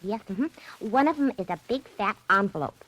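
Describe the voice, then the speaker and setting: An American actress in 1940s radio style, playing a perky, scatterbrained young secretary. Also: female voice, medium pitch, expressive, normal speed, a bit bright, poor audio. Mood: matter-of-fact. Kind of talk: 1940s radio comedy-drama acting. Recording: vintage radio drama recording.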